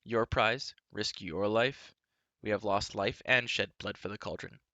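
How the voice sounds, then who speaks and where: A man voicing an earnest, hot-tempered young man who speaks up loudly and accusingly. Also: fairly low pitch, measured pace, dry recording, dull audio. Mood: indignant. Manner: loud, accusing, indignant.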